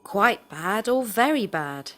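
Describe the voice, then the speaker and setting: A woman's voice: mid-range voice, expressive, slow pace, a bit bright. A woman in the role of a language teacher, reading scripted questions with careful phrasing. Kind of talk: scripted question drill, read aloud.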